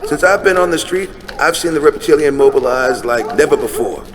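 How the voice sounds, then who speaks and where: An actor playing a streetwise, insistent, world-weary homeless conspiracy believer in an audio drama. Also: male voice, a little high, a little fast, a crowd in the background, natural voice. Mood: urgent, earnest. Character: streetwise, conspiracy-minded homeless man, an earnest mentor. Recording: reverberant hall with steady noise.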